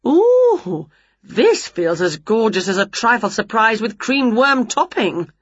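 A woman voicing an excitable, daft storybook witch who grumbles and exclaims in colloquial turns of phrase. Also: fairly high pitch, slow pace, slightly rough, no room sound, dull audio, pitched above the performer's own voice, exaggerated voice. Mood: excited, fussy. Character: excitable scatty witch with skinny legs, daft and cheeky.

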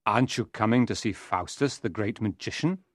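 A woman's voice: extremely low pitch, normal speed, no echo, studio quality, voice pushed down. A woman voicing a friendly, enthusiastic male knight who speaks eagerly.

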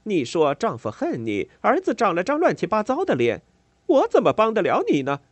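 A man voicing a coarse, brash neighbor woman who taunts loudly. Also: high-pitched, huge pitch swings, normal speed, no room sound, pitched above the performer's own voice, full character voice. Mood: brash mockery.

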